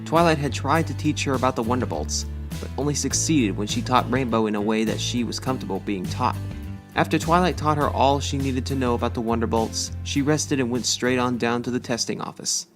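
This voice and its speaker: A woman reading scripted fan fiction as a storybook narrator. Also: very deep voice. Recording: music behind the voice.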